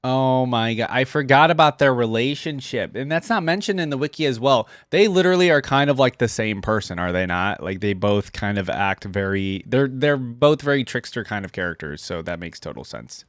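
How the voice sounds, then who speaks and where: A man's voice: medium pitch, normal speed, few pauses, a bit bright, dry recording. Casual male reaction host, dry close recording. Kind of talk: casual commentary reacting to game voice lines.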